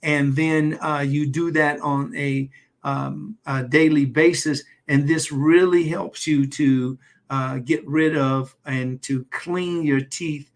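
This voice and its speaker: A man, a doctor, hosting a live health stream in a loose, halting way with many fillers and light jokes. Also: fairly high pitch, steady tone. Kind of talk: casual livestream talk.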